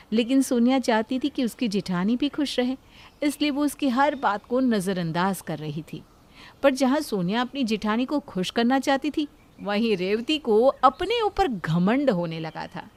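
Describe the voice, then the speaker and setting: A woman's voice: fairly high pitch, a little mellow. A woman reading scripted narration for a moral story.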